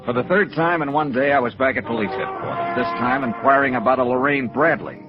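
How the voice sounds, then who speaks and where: An American actor playing a wry, dogged insurance investigator in 1950s radio noir, clipped and matter-of-fact. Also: male voice, mid-range voice, a little fast, lightly acted. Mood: dry, businesslike. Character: wry, dogged male freelance insurance investigator, private-eye type. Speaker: lead actor and narrator. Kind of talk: 1950s radio noir acting and first-person narration. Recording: dull vintage radio recording with music.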